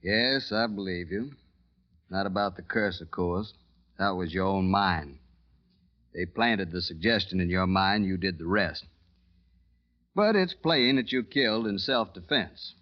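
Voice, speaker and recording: An American actor playing a calm, shrewd police commissioner in an old radio drama, speaking in a measured, matter-of-fact way. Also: male voice, deep voice. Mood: calm, reassuring. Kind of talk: 1940s-50s radio acting. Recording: dull old radio recording.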